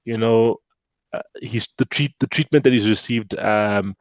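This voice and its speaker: A man's voice: on the low side, unhurried, no room sound, muffled recording. A man co-hosting a casual football show, talking with many fillers and restarts. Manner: hesitant, many fillers and restarts, excitable jokes. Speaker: co-host.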